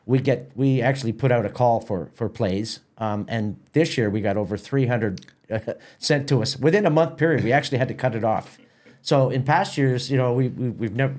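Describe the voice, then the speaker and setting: A man's voice: medium pitch, a little mellow. A man chatting as an interview guest about his work, often repeating words as he goes. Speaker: guest, theatre festival organizer. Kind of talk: casual podcast interview.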